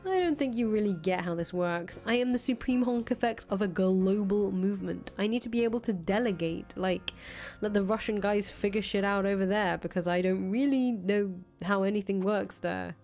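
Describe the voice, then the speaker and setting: A woman's voice: mid-range voice, normal speed, a little mellow, lightly acted. A woman voicing a brash, grandiose young revolutionary leader in a visual novel, foul-mouthed, boastful and emphatic. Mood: smug, evasive. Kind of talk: visual novel character voice reading. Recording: music playing behind the voice.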